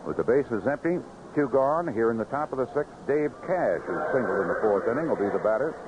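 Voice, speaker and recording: An American man calling baseball play-by-play live on the radio in clipped phrases, in an animated manner. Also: medium pitch, fairly quick. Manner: animated calls rising sharply on big plays, clipped phrases. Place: ballpark broadcast booth. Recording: noisy, muffled vintage AM radio broadcast.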